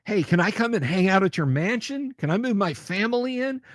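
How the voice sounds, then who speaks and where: A man's voice: high-pitched, animated, fairly quick, dry recording, studio quality. A man hosting a casual livestream, rambling, repeating his words and making sarcastic asides while reading viewer comments. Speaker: livestream host.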